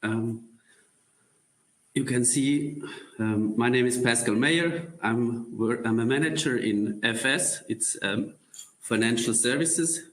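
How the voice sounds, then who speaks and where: Slow, halting man. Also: medium pitch, roomy sound, poor audio. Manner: slow, halting, many ums and throat clears. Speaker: manager presenting a career webcast. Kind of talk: corporate presentation.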